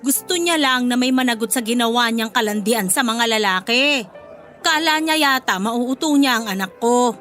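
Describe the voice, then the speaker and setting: Casting: a woman as a blunt, domineering Filipino mother-in-law, slightly high voice, radio drama over music. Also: normal speed, fairly bright tone, close to an everyday voice. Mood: scornful. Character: protective, scornful middle-aged mother-in-law, bossy and blunt. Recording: music bed behind the voice.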